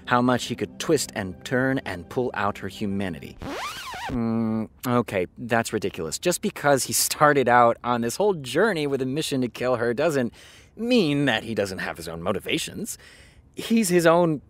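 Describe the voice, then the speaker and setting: A man's voice: medium pitch, big pitch swings, moderate pace, close to an everyday voice. A man playing a wry, self-critical writer who reads dramatic prose aloud with brooding delivery and muttered asides in an audio drama. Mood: wry, irritated.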